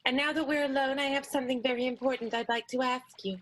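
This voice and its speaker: Dramatic starlet wife, fairly high voice, hesitant, adoring, timid, radio soap comedy. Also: female voice, fairly even intonation, fast talker, a bit bright. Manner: hesitant, melodramatic. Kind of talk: improvised radio soap opera acting.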